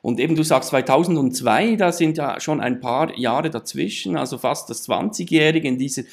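A man's voice: fairly high pitch, moderate pace, creaky. A man interviewing a guest, asking long courteous questions framed with fillers.

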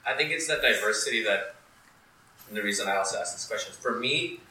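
A man from Saudi Arabia hosting a podcast, telling his own story candidly and in a lively, casual way. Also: fairly low pitch, fairly quick, very echoey, far from the mic, slight background noise, poor audio. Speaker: podcast host. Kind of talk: casual conversation.

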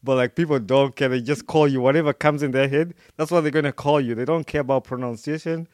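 A man from Uganda hosting a podcast in a relaxed, casual way, leaning on "like" and restarting his sentences. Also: medium pitch, fast talker, dry recording, clean studio sound. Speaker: podcast host. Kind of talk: casual interview chat. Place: in America.

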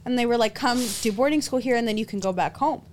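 A woman's voice: on the high side, fast talker, a little mellow. A young woman from Los Angeles, a podcast guest telling stories in casual chat, full of "like" fillers. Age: young adult. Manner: storytelling, frequent "like" fillers, laughs often.

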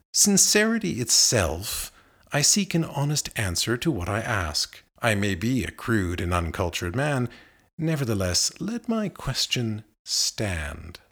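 A man with a fairly low voice reads narrative verse as a literary recitation. He takes it very slowly, phrasing each line with care. His intonation is highly varied, giving key words expressive weight. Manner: measured, deliberate phrasing with expressive emphasis. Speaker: reader of poetry.

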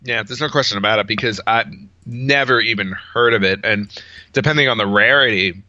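A man's voice: on the low side, a little fast, bright, forceful tone, no echo, muffled recording. A man co-hosting a casual podcast, emphatic and opinionated, repeating himself as he makes his points, on somewhat band-limited audio. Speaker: podcast co-host. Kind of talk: casual unscripted podcast banter.

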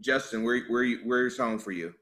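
A man's voice: medium pitch, moderate pace, a bit bright. A man hosting a podcast panel, chatting casually, teasing and asking each guest questions. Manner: laughing, teasing, asks questions. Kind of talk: casual group chat.

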